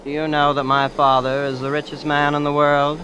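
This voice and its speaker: Smug wealthy schoolboy, sharp bright voice, cool boastful manner, 1940s radio drama, slightly noisy recording. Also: male voice, on the high side, monotone, moderate pace. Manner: cool, matter-of-fact boasting. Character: smug, aloof rich prep-school young man.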